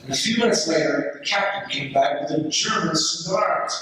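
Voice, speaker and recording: A man's voice: fairly high pitch, expressive, normal speed, close to an everyday voice. A man playing a British soldier reading his letter home from the trenches in a readers theater performance. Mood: storytelling. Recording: very echoey hall, distant mic, noisy.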